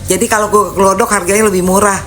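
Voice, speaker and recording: A woman's voice: mid-range voice, steady tone, fast talker, fairly bright tone, slight background noise. A woman with a Jakarta Indonesian accent chatting casually and bluntly, with some sass, as she answers viewers on a live stream. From Jakarta (Betawi area), Indonesia. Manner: blunt, sassy, colloquial.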